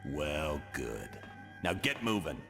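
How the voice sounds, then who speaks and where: A stern game commander with a very low voice. His briefing is authoritative and no-nonsense, in long reverb with clear music behind. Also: big pitch swings, a bit bright, heavily acted. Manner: brisk, authoritative. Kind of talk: video game cutscene acting, mission briefing.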